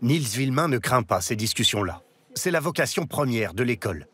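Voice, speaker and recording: A man narrating a documentary in a measured, scripted voice-over. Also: medium pitch, very animated, fairly bright tone. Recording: voice-over with faint music.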